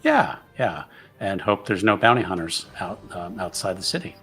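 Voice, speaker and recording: A man chatting casually as a tabletop game player, in a measured, dryly humorous manner. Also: sits low, sing-song, fairly bright tone, soft background music.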